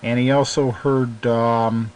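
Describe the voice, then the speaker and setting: A man hosting a casual hobby radio chat, talking in a halting, hesitant way as he interviews. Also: mid-range voice, level tone, darkish tone, low-quality recording. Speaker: host, interviewer.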